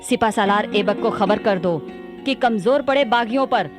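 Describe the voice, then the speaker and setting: A woman's voice: mid-range voice, normal speed, over music, lightly acted. A woman acting a bold, commanding young warrior sultana in a radio drama. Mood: determined.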